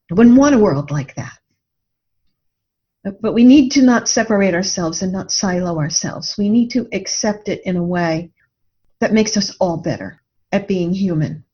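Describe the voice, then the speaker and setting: A woman's voice: medium pitch, a little mellow. Reflective woman on a dull lo-fi recording. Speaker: podcast guest, writer and coach. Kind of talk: reflective interview talk. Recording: dull lo-fi audio.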